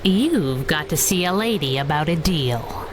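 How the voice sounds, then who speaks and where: A woman playing a plucky, wisecracking female sidekick to a masked crimefighter in a 1930s-style radio drama, brisk and irreverent. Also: low voice, animated, over music. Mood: eager, scheming.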